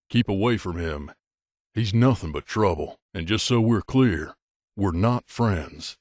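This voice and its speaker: A man's voice: fairly low pitch, darkish tone, no room sound, clean studio sound. A man playing a middle-aged mercenary bodyguard in a video game, a blunt and guarded loner who talks in a terse, matter-of-fact, aloof way. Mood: wary, dismissive. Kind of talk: video game character dialogue.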